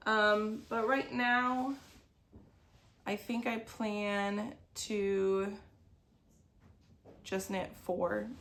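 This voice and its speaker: A woman's voice: mid-range voice, a bit bright. A woman in her thirties, sniffly from a cold, hosting a crafting video podcast from her sewing desk at home. She chats casually and meanders, with lots of "like" fillers. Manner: meandering, talks around things with many "like" fillers. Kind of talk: casual chat.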